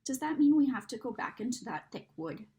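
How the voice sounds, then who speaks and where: Lady doing a fretful proper schoolgirl from a storybook, high plaintive voice. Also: sing-song, fairly quick, dark tone. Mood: fretting. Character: fretful, careful older schoolgirl.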